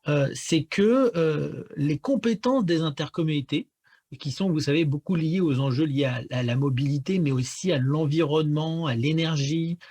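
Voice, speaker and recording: A man's voice: fairly high pitch, normal speed, darkish tone. A man giving analysis as a guest pollster in a radio interview, explaining things with frequent stammered repeats.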